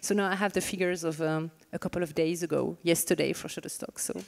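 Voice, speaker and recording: A woman's voice: fairly low pitch, fairly even intonation, quick pace, gravelly. A woman in her thirties from France with a French accent, moderating a fireside chat on stage, chatty and joking with many fillers, speaking into a handheld mic. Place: conference stage in a large hall. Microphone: handheld microphone. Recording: reverberant hall sound.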